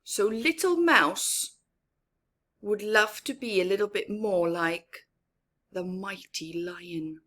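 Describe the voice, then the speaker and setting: A woman reading a picture book aloud to children in an expressive, theatrical way, with emphatic words and hushed, whispery phrases. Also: medium pitch, slow. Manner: asks young listeners questions and comments on pictures. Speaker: storyteller for children.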